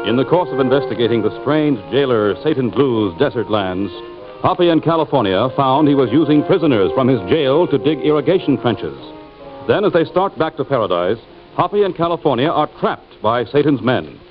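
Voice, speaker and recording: A man's voice: medium pitch, animated, normal speed. A man with an American accent narrating a story as an old-time radio announcer, in a scripted style. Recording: muffled old radio recording. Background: music.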